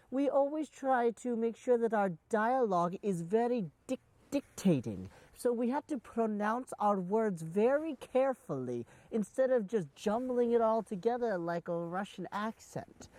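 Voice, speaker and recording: Woman doing a pompous British gentleman, swooping pitch. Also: dry recording, natural voice. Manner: pompous, careful enunciation. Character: haughty, demanding, helpless British gentleman employer, easily outraged. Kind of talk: improvised comedy role-play.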